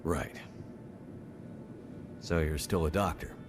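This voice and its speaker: An actor playing a guarded, bitter bush pilot in a game cutscene, talking in terse, clipped lines. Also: male voice, very deep voice, fairly even intonation, slow pace. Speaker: game character. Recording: game cutscene over loud music.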